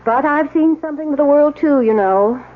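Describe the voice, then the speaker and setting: Actress as a crotchety old aunt, tinny radio. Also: female voice, high voice, normal speed, natural voice. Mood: suspicious, lecturing. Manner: curt, scolding, interrogating. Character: crotchety, suspicious old aunt, blunt and disapproving. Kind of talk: 1970s radio drama acting. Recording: tinny, faintly noisy old radio broadcast.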